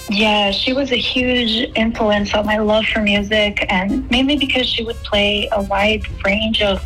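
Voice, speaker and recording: Young woman from el salvador chatting in an interview, lots of ums, soft music behind. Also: mid-range voice, low-quality recording. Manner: chatty, many fillers and restarts. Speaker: guest musician. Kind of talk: casual interview answers.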